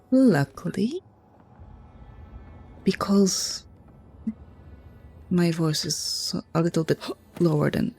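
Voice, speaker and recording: A woman with a hoarse, low voice, muttering and chuckling to herself as she comments on a video game. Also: expressive, extremely slow, pauses often, dark tone, soft background music. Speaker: streamer. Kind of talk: casual gameplay commentary.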